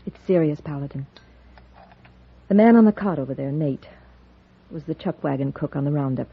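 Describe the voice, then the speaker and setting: Female frontier doctor, dutiful, weary, husky, vintage western radio drama, muffled sound. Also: sits low, lively intonation, moderate pace, full character voice. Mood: grave, urgent. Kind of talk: 1950s radio western acting. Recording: dull old radio recording.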